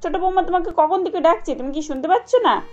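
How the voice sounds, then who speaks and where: A woman's voice: very high-pitched, fast talker, darkish tone, dull audio, soft background music, voice pushed up. A woman voicing a stern, fault-finding older mother-in-law in a cartoon story, scolding and sarcastic.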